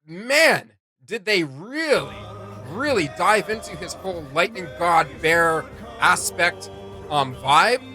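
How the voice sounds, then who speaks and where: A man's voice: high-pitched, expressive, takes it slowly, sharp tone. A man giving casual, enthusiastic reaction commentary as a co-host, slangy and exclamatory, with music behind him.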